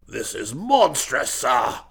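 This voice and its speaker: A man's voice: mid-range voice, very animated, takes it slowly, vocal fry, husky, voice pushed down, heavily acted. A man voicing a stiff, indignant old English duke, icily polite, with clipped, formal and pompous delivery in an audiobook story. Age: older.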